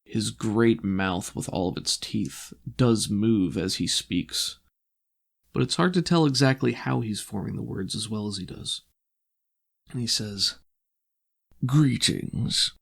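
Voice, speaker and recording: A man narrating a tabletop game as game master, in a measured, descriptive storytelling manner. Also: on the low side, creaky.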